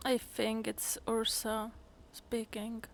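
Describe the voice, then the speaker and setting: A woman streamer comments casually on a game, fairly high in pitch but even in tone. She speaks slowly and haltingly, with a creaky edge. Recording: faint noise, game audio behind.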